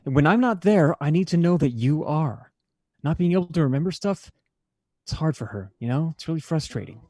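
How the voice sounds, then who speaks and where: Man acting a mellow, earnest older brother. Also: fairly high pitch, expressive, brisk, dry recording, studio quality. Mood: concerned. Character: responsible, weary older brother, a stage actor. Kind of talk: naturalistic audio drama acting.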